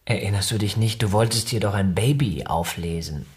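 An actor playing a bitter, cold upper-class husband in a radio drama, sarcastic and needling. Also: male voice, lowish voice, brisk, lightly acted. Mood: sardonic. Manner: sardonic, needling.

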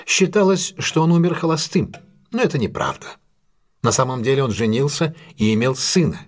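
An actor playing a brilliant, assured detective who lays out a case in a deliberate, emphatic, analytical way in an audio drama. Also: male voice, mid-range voice, lively intonation, lightly acted. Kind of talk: audio drama dialogue, expository monologue.

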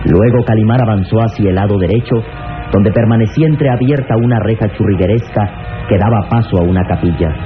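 A man's voice: on the low side, fairly quick. A man with a Mexican accent narrating a 1960s radio drama in a solemn, florid, scripted style. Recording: tinny 1960s radio recording with music.

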